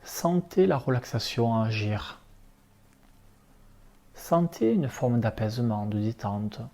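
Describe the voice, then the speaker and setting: A man leading a guided relaxation meditation as an instructor, speaking calmly in short, unhurried instructions. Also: mid-range voice. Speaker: yoga nidra relaxation instructor.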